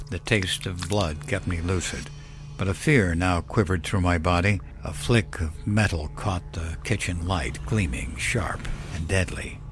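A man with a fairly low voice narrates horror fiction in the first person. His delivery is scripted, dramatic and measured to build suspense, with music clearly playing underneath. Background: background music.

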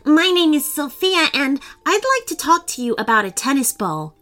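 A woman voicing a polite, determined young girl in a storybook reading, earnest and bright. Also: high voice, big pitch swings, normal speed, faint music behind, pitched above the performer's own voice, full character voice.

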